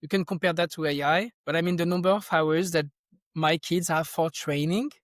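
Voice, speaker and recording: French guy on a podcast, kinda high and monotone, restarts his sentences a lot. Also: normal speed, no echo, clean studio sound. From France. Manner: thoughtful, rambling, with frequent restarts. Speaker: podcast guest, tech company founder. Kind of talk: casual interview talk.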